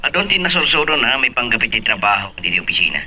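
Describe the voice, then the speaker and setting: A man's voice: medium pitch, very animated, fast, bright, forceful tone, full character voice. A man playing a polite, deferential male office employee in an Ilocano radio drama.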